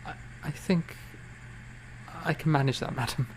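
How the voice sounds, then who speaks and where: A man acting a weary, feverish young gentleman composer who speaks in halting, formal, courteous phrases in a period audio drama. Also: a little high, steady tone, noisy background. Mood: weak, polite. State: feverish, weak.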